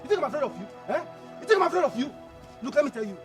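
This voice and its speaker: Fiery Nigerian brother, film drama: defiant, fast, swooping delivery, stuttered repeats. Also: male voice, extremely high pitch, very animated, over music. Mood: angry, defiant. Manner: stammering, repeats words insistently. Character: hot-headed defiant younger brother, raging and grieving.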